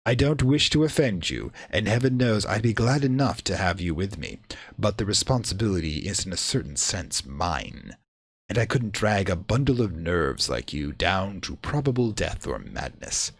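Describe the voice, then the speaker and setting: A man playing a calm, condescending occultist with a mellow, measured delivery in a radio play. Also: low voice, husky, heavily acted. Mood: cool, grave. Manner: coolly measured, unperturbed.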